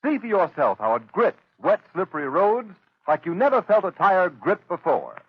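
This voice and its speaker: A man's voice: high voice, huge pitch swings, normal speed, slightly rough, no echo. A man announcing a 1930s radio advertisement in an emphatic sales manner. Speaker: commercial announcer. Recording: muffled old radio recording.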